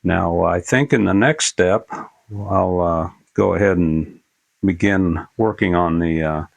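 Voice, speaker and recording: Older male how-to presenter, creaky bass voice, plain-spoken and casual, halting delivery. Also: no echo, lo-fi sound. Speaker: presenter of a radio repair tutorial. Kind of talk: casual unscripted how-to demonstration.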